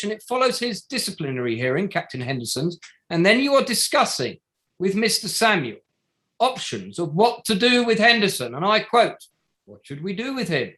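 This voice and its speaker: An actor playing a probing, sardonic barrister at a public inquiry in a play reading, formal and pointed, pressing with rhetorical questions. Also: male voice, high-pitched, pushed and bright, heavily acted. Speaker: actor in an online table read. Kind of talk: courtroom-style cross-examination in a play reading.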